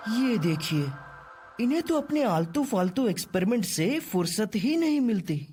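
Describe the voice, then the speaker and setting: A woman playing a scheming, greedy young son in an animated children's story, with a dramatic, complaining manner. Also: on the high side, normal speed, husky, faint music behind. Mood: sly, scornful.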